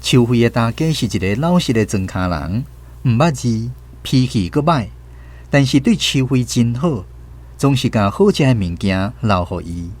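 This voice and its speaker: A man with a Taiwanese accent giving expressive, scripted storytelling narration for a radio drama. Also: medium pitch, moderate pace. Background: plucked guitar music.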